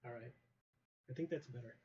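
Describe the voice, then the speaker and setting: A young man with a hoarse voice chatting casually on a livestream, rambling with many ums while telling a wrestling story over a headset mic. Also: medium pitch, fairly quick, warm tone. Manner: rambling, many ums and uhs, greets chat viewers. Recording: dull lo-fi stream audio with faint hiss.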